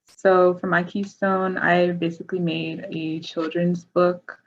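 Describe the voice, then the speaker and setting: A young woman whose first language is Igbo speaks in a low, husky, level voice as a student on a panel. She keeps it brisk and straightforward, and the audio is dull and lo-fi. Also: completely flat delivery. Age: young adult. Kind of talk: casual panel chat on a video call.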